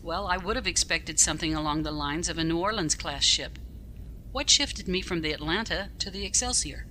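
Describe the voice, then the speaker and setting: Casting: a woman as a no-nonsense female starship captain, sharp and authoritative, slightly low register, audio drama with background hum. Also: a little fast, poor audio. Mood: formal, measured. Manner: clipped, formal, authoritative. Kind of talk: scripted science fiction audio drama acting. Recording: steady background ambient noise.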